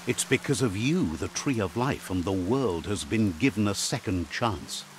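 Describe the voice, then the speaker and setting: An actor playing a wise, philosophical storyteller narrating a fantasy game in a solemn, measured way. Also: male voice, on the low side, animated, background noise, natural voice. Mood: solemn, reflective. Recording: music behind the voice.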